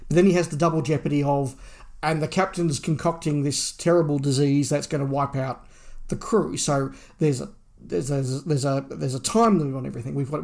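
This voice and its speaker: A man's voice: a little high, vocal fry, a little mellow. A middle-aged man talking at length and with emphasis as a podcast co-host, in a casual review discussion.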